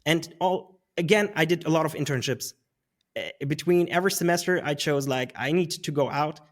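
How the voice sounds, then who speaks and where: Guy in his 30s, talks kinda high, rambles and laughs a lot. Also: moderate pace. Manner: rambling, restarts, laughs often. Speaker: guest. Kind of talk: casual interview conversation.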